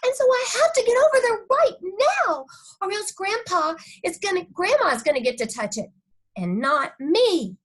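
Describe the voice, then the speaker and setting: A woman voicing a dramatic, whiny, excitable little girl in a children's audiobook, with childish grammar and indignant exaggeration. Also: very high-pitched, normal speed, voice pushed up. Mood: frantic, excited. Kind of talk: first-person children's audiobook reading.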